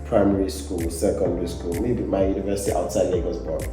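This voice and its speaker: A young adult Nigerian man, a guest chatting in a relaxed, thoughtful way with soft laughs. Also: low voice, fast talker. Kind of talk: casual interview chat. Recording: echoey room, mic at a distance, faint music.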